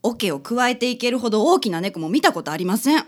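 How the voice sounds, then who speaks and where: ラジオドラマで、女性が関西なまりの丁寧だがはっきり物を言う女性の依頼人を演じている。. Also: female voice, fairly high pitch, sing-song, fairly quick. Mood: indignant. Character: polite, indignant female neighbour client. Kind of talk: community radio drama acting.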